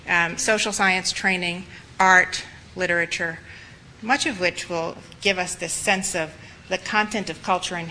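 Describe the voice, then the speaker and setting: Woman, slightly hoarse, earnest lecture, bright tone, faint background noise. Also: lowish voice, poor audio. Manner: earnest, reflective, with emphatic repetition. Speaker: lecturer for medical students. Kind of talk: spoken lecture.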